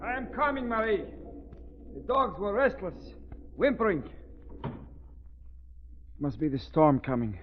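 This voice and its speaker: A man's voice: sits high, very animated, unhurried, pauses often, slight background noise. An actor playing a superstitious, fearful male servant, grave and ominous in 1930s radio drama style. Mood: uneasy. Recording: muffled, tinny old radio recording.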